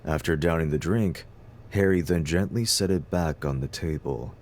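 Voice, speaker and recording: Very deep male story narrator. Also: moderate pace, darkish tone.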